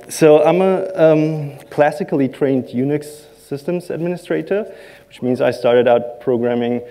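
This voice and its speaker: Guy on a big conference stage, serbo-croatian first language, lots of ums, mellow voice. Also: mid-range voice. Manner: halting, many ums and uhs, self-deprecating. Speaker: conference speaker. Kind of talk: conference talk.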